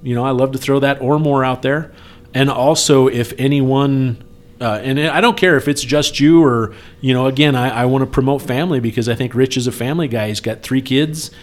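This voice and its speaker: A man's voice: medium pitch, steady tone, normal speed. A man talking casually on a podcast in an earnest, persuasive, conversational way, repeating points for emphasis. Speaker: speaker appealing for campaign support.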